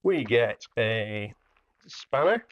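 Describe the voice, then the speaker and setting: A man's voice: medium pitch, sing-song, drawn-out words, no echo. Slightly muffled man presenting an unboxing. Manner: plain-spoken, explains each step as he works. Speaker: hi-fi dealer presenting a product. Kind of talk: casual unboxing demonstration. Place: indoor hi-fi showroom.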